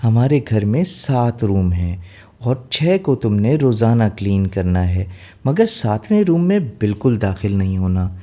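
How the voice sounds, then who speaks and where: A man's voice: lowish voice, sing-song, a little slow, dark tone. A man playing a kindly female fairy who gives instructions in a storybook tale. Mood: instructing.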